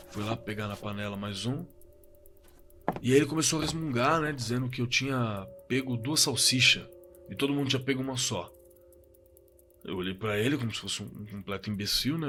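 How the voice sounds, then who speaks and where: An actor playing a shaken young man who recounts a frightening memory in a halting, colloquial audio drama testimony, searching for words. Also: mid-range voice, bright, forceful tone, natural voice. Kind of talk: audio drama testimony, spoken as casual recollection. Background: suspense background music.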